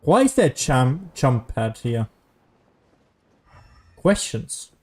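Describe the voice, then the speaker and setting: Mellow-voiced man rambling over gameplay. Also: mid-range voice, very animated, extremely slow, husky. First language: German. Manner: rambling, self-deprecating joking. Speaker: video game streamer. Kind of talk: casual gameplay commentary.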